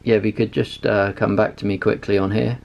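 Low-pitched, gravelly man demonstrating radios. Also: level tone, a little fast, dull audio. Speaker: amateur radio reviewer. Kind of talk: casual hands-on demo and narration.

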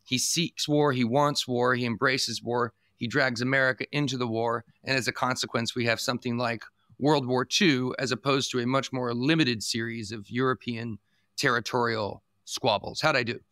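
A man hosting a podcast in a bright, forward voice. He speaks in a measured, deliberate way and sometimes repeats a word as he restarts. The recording is dry and close-miked. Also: medium pitch, steady tone, no room sound. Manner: measured, restarts words, lays out arguments at length. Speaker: podcast host. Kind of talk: podcast interview.

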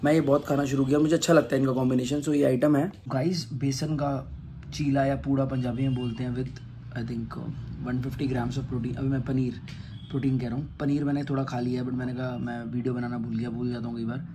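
A man's voice: medium pitch, level tone, brisk. A man in his thirties chatting casually to a phone camera for his vlog, mixing English words into Hindi. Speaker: vlogger. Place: gym, then home, then outside a temple at night. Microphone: phone camera at arm's length. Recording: noisy phone vlog audio.

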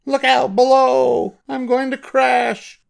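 A man voicing an excitable, eager storybook mouse who exclaims like a playful showman. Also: very high-pitched, takes it slowly, creaky, background noise, pitched above the performer's own voice, full character voice. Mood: alarmed. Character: excitable, eager male cartoon mouse showing off.

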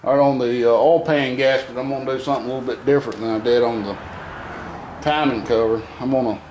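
A man talks through an engine rebuild in a garage workshop, plain-spoken and unhurried, thinking aloud as he works. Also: medium pitch, fairly even intonation, a little mellow, slight background noise, low-quality recording. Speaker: home mechanic demonstrating an engine rebuild. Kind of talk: casual hands-on how-to. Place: barn or garage workshop, indoors.

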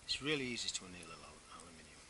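A man's voice: low voice, lively intonation, quick pace, heavy background noise. A man giving a casual step-by-step how-to while working outdoors on a garden patio, often restarting phrases as he explains. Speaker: DIY video maker.

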